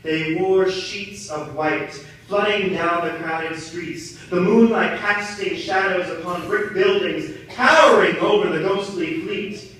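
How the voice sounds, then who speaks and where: A young adult man performing a dramatic spoken-word monologue in a theatrical, deliberate, poetic delivery. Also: high-pitched, fairly bright tone. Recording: very echoey, distant mic, noisy room.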